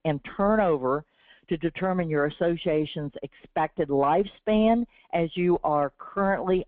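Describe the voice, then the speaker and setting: A woman with a sore, slightly raspy throat presents a webinar from a prepared script in an earnest, encouraging way. Her voice comes through a thin, phone-like line with no room sound around it. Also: low-pitched, no echo. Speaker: webinar host from a business development team. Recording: tinny, dry phone-line audio.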